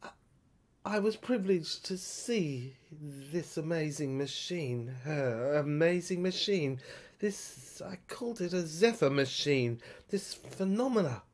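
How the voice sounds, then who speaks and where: A woman narrating a first-person audio story dramatically, halting and repeating phrases for effect. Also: low-pitched, expressive, darkish tone.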